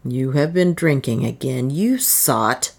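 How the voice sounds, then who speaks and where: A woman's voice: a bass voice, animated, slow, creaky, breathy edge, heavily acted. A woman voicing a cold, commanding male adventurer in an audiobook, speaking in a curt, dry way. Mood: stern, scornful. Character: cold, desperate, commanding British adventurer man.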